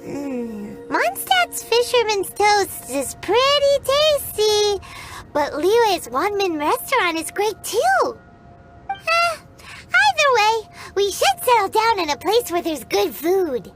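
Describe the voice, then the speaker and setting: A woman voicing a tiny, curious, chatty fairy girl with a squeaky childlike voice in a video game. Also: slow, soft background music, exaggerated voice. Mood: cheerful musing. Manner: refers to self in third person, expressive. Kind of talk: English video game voice acting.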